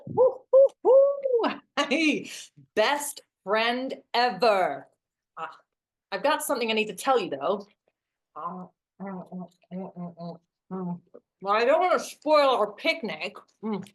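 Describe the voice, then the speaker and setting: A woman's voice: a little high, big pitch swings, slow, low-quality recording. A woman acting in a naturalistic drama as a wry, self-deprecating best friend who sighs, hesitates and teases. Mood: hesitant.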